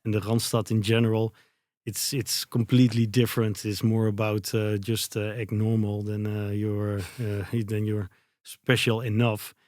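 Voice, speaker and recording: A man's voice: on the low side, flat delivery, normal speed, darkish tone, dry recording. A Dutch man in his thirties, a native Dutch speaker, answering interview questions in English with a Dutch accent, hesitant and searching for words with many fillers. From Netherlands. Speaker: guest, company founder.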